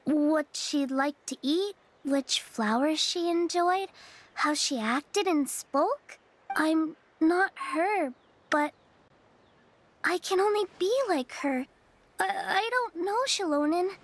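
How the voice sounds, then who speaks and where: A timid young girl in a video game, soft-spoken and hesitant, often stammering. Her voice sits very high and she speaks slowly. She sounds unsure of herself. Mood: uncertain.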